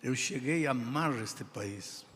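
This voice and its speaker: An older man with a non-native accent in Portuguese, preaching a sermon in slow, deliberate phrases with emphatic pauses. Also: medium pitch, animated, a bit bright. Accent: non-native speaker of Portuguese. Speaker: pastor. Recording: reverberant hall sound.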